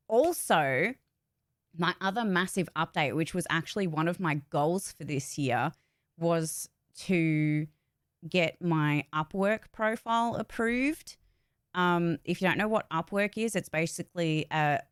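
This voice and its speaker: Smooth, low-pitched woman chatting casually. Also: no echo, clean studio sound. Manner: informal, rambling, chatty. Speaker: voice actor and streamer giving updates. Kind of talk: casual livestream chat.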